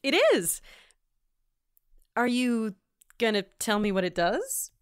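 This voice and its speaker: A woman's voice: fairly high pitch, slow, smooth, dry recording, clean studio sound. A woman playing an eager, impatient otherworldly agent, wry and informal, in a fantasy audio drama. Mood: weary, skeptical.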